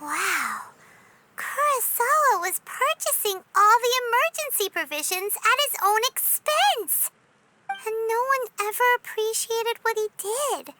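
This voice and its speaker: Squeaky, spirited fairy child. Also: female voice, very animated, measured pace, full character voice, low-quality recording. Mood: reflective. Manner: exclamatory, earnest. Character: small spirited childlike fairy companion girl, bossy and curious. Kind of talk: video game cutscene voice acting. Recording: loud music behind voice. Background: game music.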